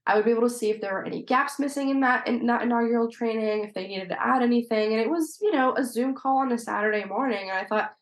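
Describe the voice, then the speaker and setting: A woman's voice: fairly high pitch, quick pace, hardly any pauses. A woman giving conversational interview answers as a legislator guest, explaining things in an articulate way. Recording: roomy, echoey sound.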